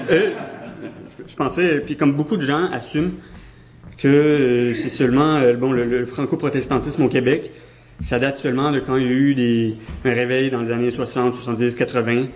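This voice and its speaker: A man with a Québécois accent delivers a history lecture in an everyday middle-pitched voice. He hesitates constantly, filling gaps with "euh" and circling back over half-finished phrases. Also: a little mellow, a little hiss or hum. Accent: Québécois French. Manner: hesitant, many "euh" fillers and restarts. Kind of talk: academic lecture.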